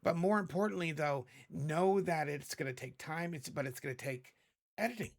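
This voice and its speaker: A hoarse man in middle age walks viewers through a video edit in a loose, rambling way, often correcting himself mid-sentence. His voice sits a little high for a man. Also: normal speed. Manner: chatty, rambling, self-correcting. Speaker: video editing tutorial host. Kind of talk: casual screen-recorded tutorial.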